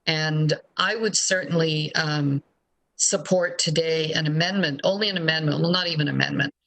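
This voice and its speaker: Man, city councillor, forceful bright tone, fairly high pitch, blunt debate remarks. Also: fairly even intonation, normal speed, vocal fry, low-quality recording.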